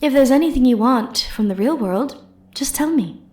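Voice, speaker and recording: Woman acting a soft, high-voiced, dangerous flirty sorceress. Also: lively intonation, normal speed. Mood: proud, coaxing. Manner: soft, intimate, teasing, theatrical delivery with breathy murmured asides. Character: powerful flirty dangerous immortal sorceress, possessive and condescending. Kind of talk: ASMR roleplay voice acting.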